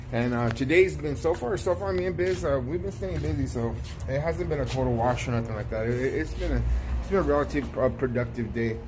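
A middle-aged man chatting casually to his vlog viewers as a barber and shop owner, often repeating phrases for emphasis. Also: medium pitch. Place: outside a storefront, later inside a barbershop. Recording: noisy ambient background.

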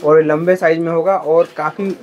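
A man's voice: fairly high pitch, fairly even intonation, moderate pace. A man filming a shop-tour vlog in a card shop, talking to viewers with eager praise and repeating prices. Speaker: market vlogger.

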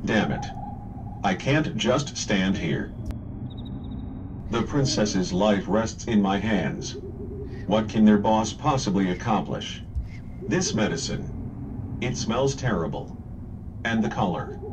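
A man's voice: fairly low pitch, lively intonation, takes it slowly, long pauses. A man playing a stubborn, dutiful knight in a video game fan dub, speaking earnestly, formally and emphatically. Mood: frustrated. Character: stubborn, dutiful adult male knight protecting a princess. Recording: distant microphone, clearly noisy.

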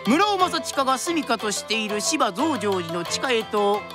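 A woman's voice: on the high side, very animated, normal speed, over music. A woman playing a storyteller narrating a period radio drama in traditional Japanese style. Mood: storytelling.

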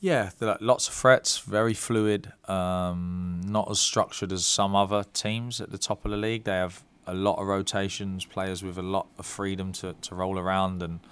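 A man in his thirties, a football manager at a press conference, giving measured answers with frequent ums and restarts into a desk mic. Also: sits low, steady tone, fairly bright tone, clear, resonant tone, dry recording. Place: club press room.

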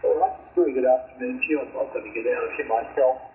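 A man's voice: medium pitch, quick pace. A man talking casually as an amateur radio operator, heard over shortwave radio. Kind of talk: casual ham radio exchange. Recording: tinny shortwave radio, roomy playback, faint static.

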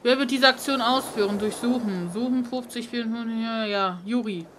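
A woman's voice: on the high side, moderate pace, background noise. A woman doing casual let's play commentary, reading game text aloud and muttering to herself. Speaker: gaming let's player. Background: video game music.

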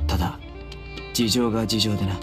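A man's voice: on the low side, flat delivery, moderate pace, music in the background, natural voice. A man playing a stern, reserved young desert lawman in a video game, terse, formal and stoic. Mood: guarded.

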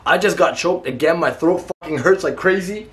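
A young man vlogging a staged paranormal challenge in a dark abandoned building at night, hoarse and panicked, talking in shaken, hushed bursts. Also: a little high, moderate pace, reverberant. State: hoarse, complaining of a sore throat.

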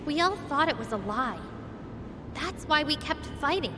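A woman voicing an earnest, feisty young heroine in a video game, expressive in delivery. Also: high voice, normal speed, noisy background. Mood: troubled. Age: young adult. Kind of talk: video game cutscene acting. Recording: long hall reverb, music underneath.

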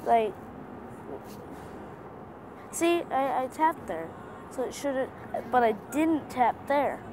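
A young woman casually commenting on a mobile game she plays, quiet and tired with sudden frustrated outbursts. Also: high voice, big pitch swings, slow. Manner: sparse, tired chatter with bursts of frustrated outcries and humming. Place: inside a vehicle. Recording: phone screen recording with vehicle noise. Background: vehicle noise.

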